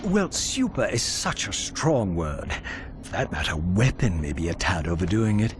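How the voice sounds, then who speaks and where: A droll robot butler for a game. The voice is lowish with sliding intonation, polite and dryly understated, over clear music. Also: male voice, very animated, moderate pace. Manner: dry, hesitant, polite.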